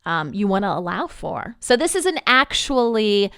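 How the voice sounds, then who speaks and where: A woman with a smooth, clear voice talks listeners through a software walkthrough. Her delivery is conversational and easygoing, explaining each step informally, and the sound is dry and close with very little room. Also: medium pitch, sing-song, unhurried, no room sound. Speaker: podcast network representative giving a software tutorial. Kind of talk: informal screen-share tutorial walkthrough.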